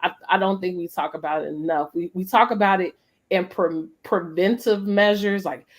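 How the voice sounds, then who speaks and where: A woman's voice: fairly low pitch, normal speed. A middle-aged American woman hosting a casual, reflective conversation, speaking emphatically and often checking in with her listener. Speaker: host of a family storytime conversation.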